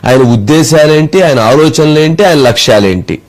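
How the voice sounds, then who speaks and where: A man giving political commentary in a deliberate, emphatic way, putting rhetorical questions. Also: on the high side, lively intonation, faint music behind.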